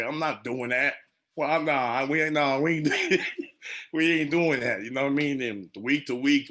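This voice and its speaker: An American man speaking off the cuff as a panelist, in animated colloquial speech with frequent check-ins to the listener. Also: medium pitch. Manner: animated, colloquial, peppered with "you know what I'm saying". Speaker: monologuist on a panel. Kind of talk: casual unscripted storytelling.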